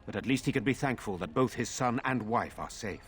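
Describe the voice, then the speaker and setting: An actor playing a grave, measured nobleman in a fantasy game, speaking formally with a husky voice. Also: mid-range voice, expressive, faint music behind, natural voice. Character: grave, measured nobleman ruling in his brother's stead.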